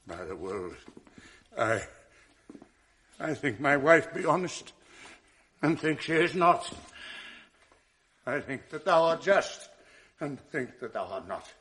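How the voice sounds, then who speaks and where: An actor playing a jealous, tormented general in a classic radio drama, swinging between roars and hushed whispers. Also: male voice, mid-range voice, very animated, slow, pauses often. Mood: torn. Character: jealous tormented general, man, volatile and commanding.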